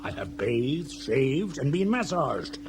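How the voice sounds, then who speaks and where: An actor playing a vain, petulant spaceship captain in a comic radio drama, pompous and theatrical. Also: male voice, fairly high pitch, sing-song, slow, over a noisy crowd. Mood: preening impatience. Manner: pompous, drawling, theatrical outbursts.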